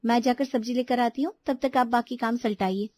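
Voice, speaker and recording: A woman's voice: on the high side, steady tone, fairly quick, darkish tone, natural voice. A woman voicing a dutiful but defensive young sister-in-law in a household story, explaining herself indignantly.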